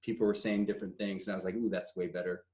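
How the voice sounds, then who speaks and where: A man's voice: low-pitched, little pitch movement, very fast, a little mellow, echoey room. A writer-director chatting over a video call, explaining his film loosely with many restarts. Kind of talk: casual video-call meeting talk.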